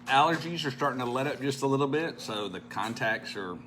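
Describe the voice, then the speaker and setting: A man's voice: mid-range voice, expressive, fairly quick, a bit bright, soft background music. A man co-hosting a casual morning chat, giving dry, laid-back replies. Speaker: co-host.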